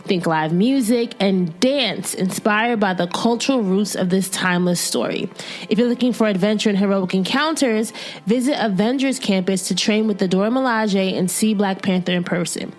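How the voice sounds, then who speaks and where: Young woman, podcast host, conversational and encouraging, mid-range voice. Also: medium pitch. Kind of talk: casual interview chat and ad read.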